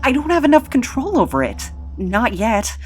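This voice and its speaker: A woman's voice: on the high side, huge pitch swings, normal speed, a little mellow, husky, faint music behind, full character voice. A woman playing a sarcastic, take-charge reluctant hero in a comic audio drama. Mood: urgent. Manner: sarcastic, urgent.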